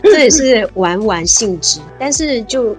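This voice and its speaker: A woman in her fifties from Taiwan, a guest in a casual interview, cheerful and quick to laugh. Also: on the high side, animated, faint music behind. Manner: cheerful, laughs often, quick bursts of enthusiasm.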